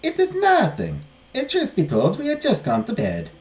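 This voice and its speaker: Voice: mid-range voice, wildly swooping pitch, moderate pace, darkish tone, distant mic, voice pushed up. A performer voicing a kindly, easily shocked older nun who exclaims and draws out her words in a storybook reading. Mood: reassuring.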